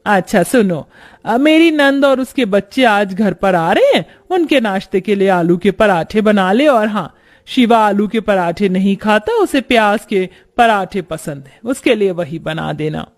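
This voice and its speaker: A man's voice: high voice, expressive, measured pace. A man voicing a bossy middle-aged mother-in-law who gives orders, in a cartoon story. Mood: bossy, brisk. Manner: commanding, calling out orders.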